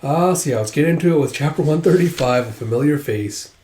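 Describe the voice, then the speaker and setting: A man with a hoarse voice from a cold, hosting a manga read-through channel and chatting casually, rambling with many fillers. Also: fairly high pitch, lively intonation, brisk, darkish tone, a little hiss or hum. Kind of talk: casual chat to camera. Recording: roomy echo.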